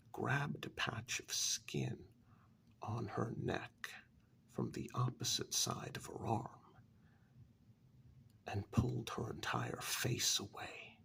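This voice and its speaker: A man in a soft-spoken ASMR story role-play, narrating a horror tale in a breathy, gravelly half-whisper as an asylum director, pausing between short phrases. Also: medium pitch. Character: asylum director calmly recounting eerie past events. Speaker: narrator telling a horror tale in first person.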